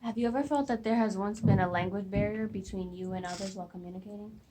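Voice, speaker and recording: Female student interviewer, husky voice, hesitant, trips over her questions. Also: mid-range voice, steady tone, fast, warm tone.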